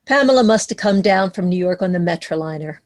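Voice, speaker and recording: A woman's voice: lowish voice, animated, quick pace, close to an everyday voice. A woman playing a sensible, matter-of-fact aunt in a read-through of a stage musical. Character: sensible, matter-of-fact aunt who raised her nieces. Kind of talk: musical table read, scripted dialogue. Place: recorded online.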